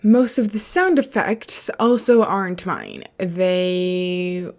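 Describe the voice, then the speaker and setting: A woman's voice: fairly low pitch, lively intonation, takes it slowly, a little mellow. A woman reading and talking as a podfic narrator, in an informal storytelling style. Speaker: podfic reader. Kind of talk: story narration and casual commentary.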